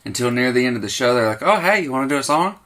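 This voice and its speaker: A man's voice: medium pitch, expressive, racing, pushed and bright. A man in his thirties with a Southern American accent, co-hosting a casual podcast chat in a home man cave, upbeat and joking. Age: 30s. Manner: upbeat, joking, laughs often. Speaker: podcast co-host.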